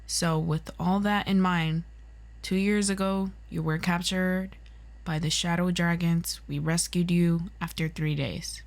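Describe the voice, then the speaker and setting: A stern female agency interrogator, acted by a woman in an audio roleplay. Her voice sits on the low side, and she speaks very slowly, with formal, measured and clipped questioning. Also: steady tone. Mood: cool, probing.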